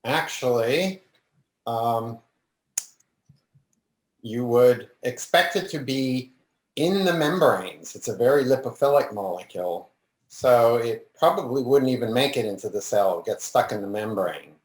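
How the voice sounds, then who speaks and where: Professor explaining in a roomy room. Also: male voice, mid-range voice, measured pace. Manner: relaxed, explanatory. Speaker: professor judging answers. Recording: roomy call audio.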